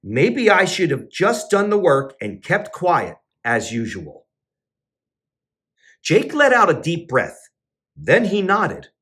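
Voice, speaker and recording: A man, a teacher and children's entertainer, reading a picture book aloud with expressive storybook narration. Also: slow pace. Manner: expressive storybook narration with sing-song pitch swings.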